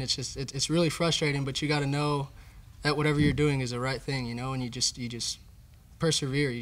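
A man's voice: mid-range voice, a bit bright. A young man, a college baseball player, earnestly answering questions about his team at a press conference. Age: young adult. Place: indoor press conference room. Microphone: table microphone.

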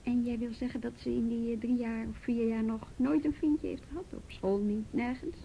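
A woman's voice: fairly even intonation, vocal fry, dull audio. A woman playing a patient, persistent youth police officer in a radio drama, coaxing. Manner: coaxing, probing, deliberate. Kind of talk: Dutch radio drama acting. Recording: old radio recording, faint rumbly noise.

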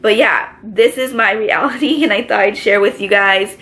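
A pregnant young woman with a raspy, allergy-congested voice, chatting casually to camera from her living room couch, full of filler words. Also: medium pitch, normal speed, a bit bright. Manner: chatty, lots of filler words, gestures with both hands. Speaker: vlogger. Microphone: camera in front, medium framing.

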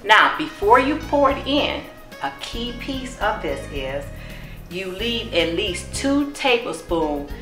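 A middle-aged woman, a cookbook author, demonstrating a recipe in her home kitchen, explaining each step in a warm, encouraging way. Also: mid-range voice, big pitch swings, slow pace. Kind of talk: cooking demonstration. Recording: echoey kitchen with music behind.

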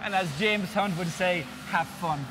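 Fifties male organizer, high-pitched and eager, interview set with audible background noise. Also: steady tone, a little slow. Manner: enthusiastic, explains with lists and repeats words. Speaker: series organizer. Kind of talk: sit-down interview. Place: indoor interview set before a sponsor backdrop.

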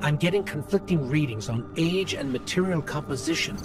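Man voicing an earnest, high-pitched little robot companion. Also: animated, brisk, lightly acted. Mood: urgent, analytical. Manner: earnest, urgent, expository. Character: small robot companion, earnest, anxious, loyal scout. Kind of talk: video game voice acting. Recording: game music and gunfire behind.

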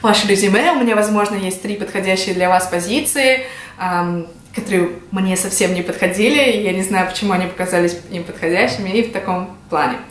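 Female vlogger, 20s, from Russia. Conversational to-camera explainer at mid pitch, with audible room echo. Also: a little fast. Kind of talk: casual explanatory vlog to camera. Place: small indoor room at home. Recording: echoey home room.

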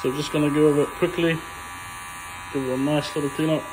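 A young male barber talks a viewer through a haircut step by step, relaxed and unpolished, with plenty of ums. His voice sits a little high for a man. Faint noise and the soft buzz of clippers hang in the background. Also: fast. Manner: relaxed, plain instructions with frequent ums. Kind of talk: hands-on haircut tutorial.

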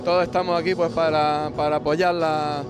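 A musician being interviewed, hesitant and repeating words. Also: male voice, on the high side, little pitch movement, normal speed, music in the background. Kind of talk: street interview.

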